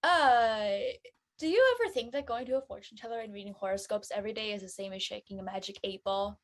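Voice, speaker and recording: A woman playing a chatty, curious high school girl in a casual script reading. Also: fairly quick, close to an everyday voice.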